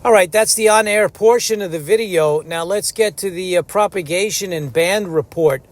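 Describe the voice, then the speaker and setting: A man's voice: sits high, normal speed. A man who makes amateur radio videos, speaking casually as he narrates and operates.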